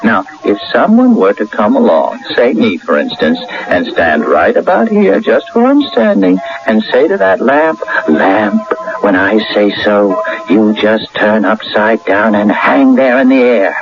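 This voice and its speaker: An actor playing an earnest, excitable and stubborn ordinary man in an emphatic, theatrical radio drama style. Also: on the low side, a little slow, music in the background. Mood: emphatic, insistent. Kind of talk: 1980 American radio drama acting. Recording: dull lo-fi old radio broadcast.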